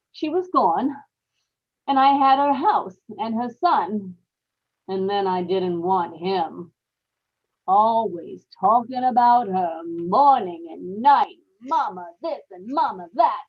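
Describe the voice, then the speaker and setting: Woman playing unhinged killer wife confessing, highish brooding voice, old radio horror show, kinda muffled lo-fi. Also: husky. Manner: dramatic, brooding confessional with repeated phrases. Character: guilt-haunted murderous young wife, unhinged and brooding.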